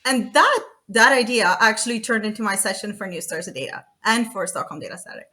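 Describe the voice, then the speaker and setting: A woman in her thirties, from Romania, chats as a podcast guest with a bright, forceful tone. She talks freely, stopping for fillers and restarting her sentences, and laughs often. Her pitch sits in the middle range. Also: lively intonation, fast talker. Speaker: guest, data consultant. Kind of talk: casual podcast chat.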